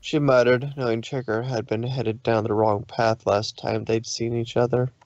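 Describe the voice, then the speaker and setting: A man narrating a scripted story, somewhat haltingly, with occasional stumbles over the words. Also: mid-range voice, level tone, a little mellow, dry recording, dull audio.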